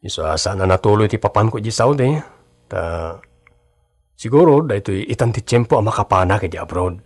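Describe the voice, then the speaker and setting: A man's voice: fairly low pitch, animated, a little fast. A man acting in an Ilocano radio drama as a devoted, hardworking young husband who drives a jeepney. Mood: reassuring, earnest.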